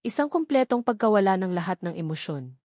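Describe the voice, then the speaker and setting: A woman's voice: mid-range voice, sing-song, fairly quick, darkish tone, no room sound, clean studio sound. A woman reading a scripted romance story as a dramatic narrator.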